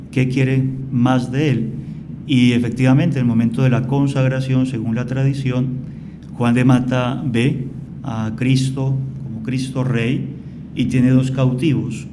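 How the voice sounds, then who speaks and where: A man from Colombia, a priest guest on a radio interview, explaining at length in a calm, storytelling way. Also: mid-range voice, background noise.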